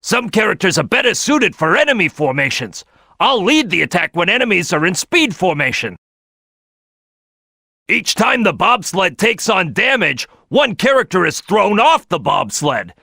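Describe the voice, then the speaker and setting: An actor playing a big, brash crocodile detective in a video game, a gruff, gravelly team leader who is loud and bossy. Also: male voice, sits high, very animated, normal speed, dry recording, clean studio sound, exaggerated voice. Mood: instructing, confident. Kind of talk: video game character acting.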